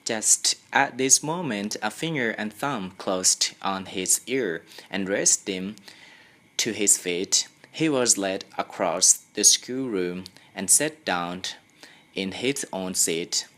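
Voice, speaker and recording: A young Thai-accented student reads a story aloud at a slow pace. The voice sits low, and the delivery is careful and a little halting, in the manner of an amateur audiobook. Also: animated, fairly bright tone. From Thailand. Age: young adult. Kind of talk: scripted story narration.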